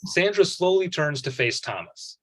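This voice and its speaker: A man's voice: fairly high pitch, sing-song, normal speed, fairly bright tone. A man reading stage directions as scripted narration for a table read.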